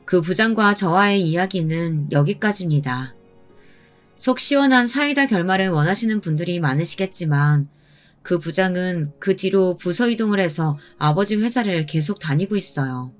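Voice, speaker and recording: A woman telling a first-person story in a calm, pointed manner. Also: fairly low pitch, normal speed. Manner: calm and pointed, recounting with indignation. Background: soft background music.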